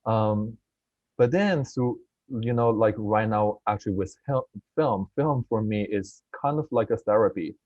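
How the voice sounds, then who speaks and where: A man's voice: on the low side, a little slow, no room sound, highs cut off. A man from China, a film director answering interview questions over a video call, earnest and thoughtful, with many fillers and restarts. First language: Mandarin. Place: at home in Beijing, remote call.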